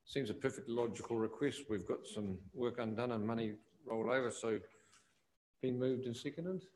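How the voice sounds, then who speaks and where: A man with a New Zealand accent chairing a council committee meeting, brisk and procedural. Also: medium pitch, a little mellow, poor audio.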